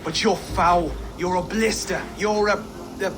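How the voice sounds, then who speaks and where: A man's voice: sits high, fairly even intonation, a little slow, noisy background. A man playing a meek, earnest young Saxon would-be king with a hesitant, polite manner in a video game scene. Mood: hesitant insult. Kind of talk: video game cutscene acting.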